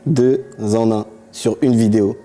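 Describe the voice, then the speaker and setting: A young man adding calm, matter-of-fact voice-over asides at an unhurried pace. Music sits plainly under his voice. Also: medium pitch. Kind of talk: voice-over commentary.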